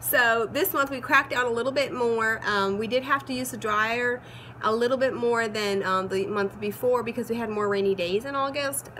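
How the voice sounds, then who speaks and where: Woman, 30s, hoarse from a cold, casual chatty vlog, faint background hum. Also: a little high, pushed and bright. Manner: chatty, upbeat, frequent ums. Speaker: vlogger. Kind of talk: casual chat. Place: at home, changed rooms.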